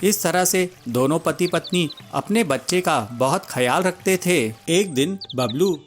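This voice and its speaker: A man's voice: fairly high pitch, animated, unhurried. A man narrating a scripted children's story as the storyteller. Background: music.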